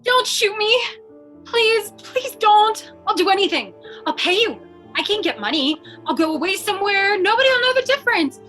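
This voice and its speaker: A bold, quick-witted dame from an old-time radio thriller, played by a woman, with a high, sharp voice that swings widely in pitch. She is theatrical and persuasive, a schemer who knows how to work on people. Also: animated, soft background music, heavily acted. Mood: terrified pleading. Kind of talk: 1940s radio comedy-thriller acting.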